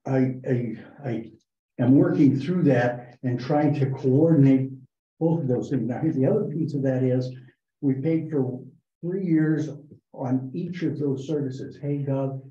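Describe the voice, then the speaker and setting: A man reporting to a town board meeting, talking in a halting way with many fillers and restarts. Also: medium pitch, dark tone. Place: town hall meeting room. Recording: echoey, muffled meeting room recording.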